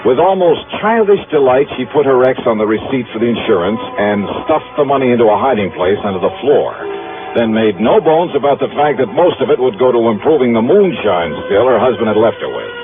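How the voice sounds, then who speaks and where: Guy playing a tough american radio detective telling his case, animated, tinny old broadcast sound. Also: mid-range voice, fairly quick, over music, lightly acted. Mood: wry narrating. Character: tough, confident male investigator narrating his case. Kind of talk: 1950s radio detective drama acting and narration.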